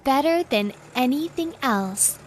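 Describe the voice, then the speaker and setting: Slow, high-voiced woman narrating for children. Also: expressive, slight background noise. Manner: simple, short phrases with careful word-by-word teaching. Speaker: narrator of an educational children's cartoon. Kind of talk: scripted educational narration for young children.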